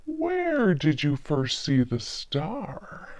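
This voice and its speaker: A woman voicing a suspicious, commanding king in a children's Bible story, with drawn-out deliberate delivery and muffled sound. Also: low voice, huge pitch swings, darkish tone, dry recording, pitched below the performer's own voice, full character voice. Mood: suspicious, probing. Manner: drawn-out, deliberate questioning and ordering. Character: scheming, suspicious old-world king, commanding adult male.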